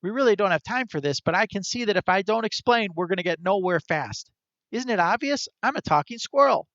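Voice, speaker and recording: A man's voice: high-pitched, animated, fairly bright tone, no echo, lo-fi sound, pitched above the performer's own voice. A man putting on an indignant talking squirrel. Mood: exasperated. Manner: quick, indignant, complaining. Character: clever, touchy male talking squirrel.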